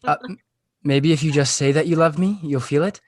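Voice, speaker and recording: A man's voice: fairly high pitch, lightly acted. Man reading as needy, stammering lovesick teenage boy. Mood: earnest, hopeful. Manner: halting, stammering, pleading. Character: earnest, needy, lovesick sixteen-year-old boy. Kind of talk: table read of a comedy script.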